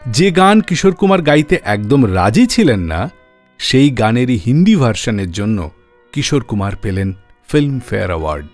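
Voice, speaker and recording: A man hosting a radio show, telling a story in scripted narration and dropping his voice low on key names for drama. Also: big pitch swings, fairly quick, soft background music.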